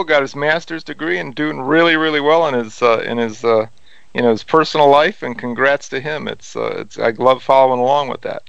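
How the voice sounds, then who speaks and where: A man's voice: mid-range voice, creaky, a bit bright, smooth, dry recording. A man hosting a radio interview, asking questions in a chummy, joking way. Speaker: radio host. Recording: dull lo-fi radio sound.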